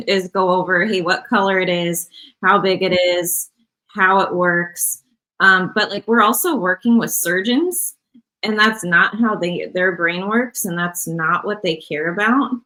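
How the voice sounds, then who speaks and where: Woman sales rep, a guest on a podcast, even-pitched and chatty, rambles with fillers. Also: low-quality recording. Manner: relaxed, rambling, many fillers like "um" and "like". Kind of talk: casual podcast conversation.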